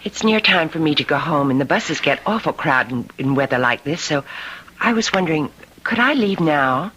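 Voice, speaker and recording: Deferential secretary, modest, hesitant, lively pitch movement, vintage radio drama, noisy recording. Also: female voice, moderate pace. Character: polite, modest female secretary to a warden. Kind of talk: old-time radio drama acting.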